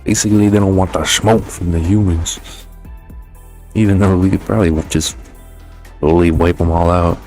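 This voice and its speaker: A man with a low voice hosts a video game review. He talks casually and informally with plenty of slang, conversational throughout, over a faint bed of music. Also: steady tone, moderate pace, long pauses, a little mellow. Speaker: host of a game review channel.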